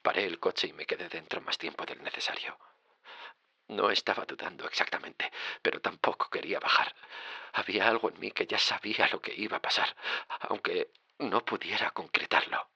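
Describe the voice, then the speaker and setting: An actor playing a haunted driver recounting his dread in a horror audio drama, with suspenseful pauses. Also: bright, forceful tone, dry recording, muffled recording, exaggerated voice. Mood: subdued, uneasy. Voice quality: low, gravelly. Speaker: narrator and lead character. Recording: dramatic music under the voice. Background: music.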